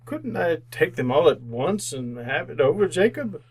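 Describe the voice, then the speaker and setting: A high-voiced, fretful old miser. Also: male voice, sing-song, normal speed, darkish tone, husky. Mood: reluctant. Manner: hesitant, pleading, repeats himself. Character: frightened, querulous old male miser. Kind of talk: old-time radio drama acting.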